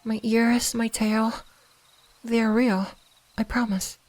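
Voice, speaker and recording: A woman playing a gentle, curious kitsune fox spirit in an ASMR roleplay, speaking softly, soothingly and a little hesitantly. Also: on the high side, flat delivery, slow, low-quality recording. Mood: gentle, slightly shy. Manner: soft-spoken, soothing, hesitant, at times whispering.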